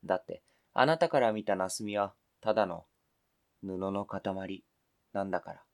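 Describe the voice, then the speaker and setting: A man's voice: low voice, normal speed. A man acting in a radio drama reading as a wounded, indignant adult who argues with cold, pedantic logic and interrogates relentlessly. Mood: hurt, bitter. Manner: pedantic, interrogating, formal argumentative phrasing.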